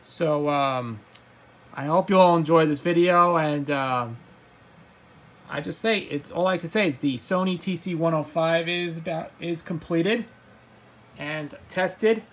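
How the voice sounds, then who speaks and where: A man with a voice a bit on the high side presents a casual hands-on demonstration. He speaks haltingly, doubling back on words and tripping over phrases as he explains things. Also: fairly bright tone, a little hiss or hum. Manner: halting, repeats words and stumbles. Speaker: hobbyist video presenter. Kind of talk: casual gadget demonstration to camera.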